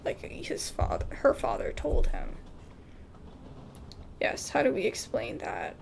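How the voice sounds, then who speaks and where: High, very creaky, lively woman gamer. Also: slow, a little hiss or hum. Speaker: gaming video host. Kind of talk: casual let's play, reading game dialogue aloud in translation.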